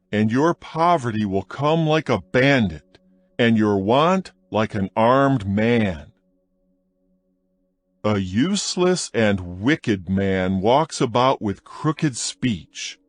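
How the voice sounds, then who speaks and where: A man reading scripture aloud in a slow, measured, solemn dramatized narration. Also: mid-range voice, no echo. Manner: slow, measured, solemn recitation with lively rises on appeals. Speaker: Bible audio narrator.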